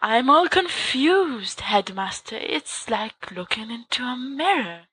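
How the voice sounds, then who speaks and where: A woman's voice: a little high, lively intonation, slow pace, fairly bright tone, slightly rough, no echo, heavily acted. A woman doing a mock-grave, grandiose schoolboy prankster. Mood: mock-confused.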